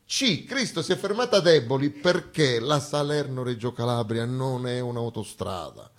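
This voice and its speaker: A man delivers a theatrical comic monologue with emphatic, ironic phrasing and dramatic pauses. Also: medium pitch, expressive. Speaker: stage actor performing a satirical monologue.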